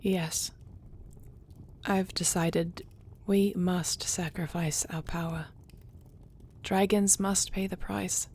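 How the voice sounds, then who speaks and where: A woman's voice: lowish voice, steady tone, takes it slowly, pauses often, darkish tone, slight background noise. A woman playing a devoted dragon queen and wife, fierce yet tender, speaking formally and intimately in an audio roleplay. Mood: composed, caring. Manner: intimate, formal storybook speech.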